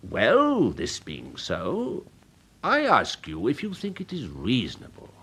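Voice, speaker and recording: An actor playing a worldly older English gentleman, a pompous and smoothly persuasive uncle in a period radio drama. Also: medium pitch, huge pitch swings, slow pace, full character voice. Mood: probing, suavely reasoning. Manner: pompous, urbane, rhetorical, insistent.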